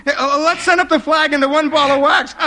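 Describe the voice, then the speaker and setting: Very high-pitched nervous adman. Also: exaggerated voice. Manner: stammering, excitable, forced joviality. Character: nervous, jabbering male advertising man Fogarty. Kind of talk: 1950s radio comedy sketch acting. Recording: noisy old radio recording with clicks.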